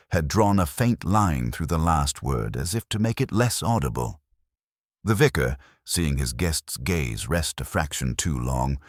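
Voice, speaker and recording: A man reading a mystery story as a narrator, in a measured, dryly understated literary manner. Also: rumbling low, no echo, clean studio sound. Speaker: audiobook narrator. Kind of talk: scripted narration of a mystery novel.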